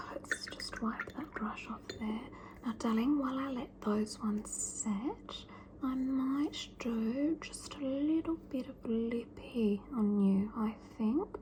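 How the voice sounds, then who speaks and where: A woman doing a whispered ASMR roleplay as a fussy, pampering mother who calls her child darling and scolds her gently. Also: on the high side, a little hiss or hum, natural voice. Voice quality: soft whisper. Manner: doting yet scolding, lingering and drawn-out.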